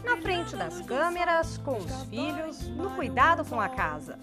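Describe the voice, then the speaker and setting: Very lively, high female voice-over narration. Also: unhurried, music in the background. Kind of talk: scripted narration.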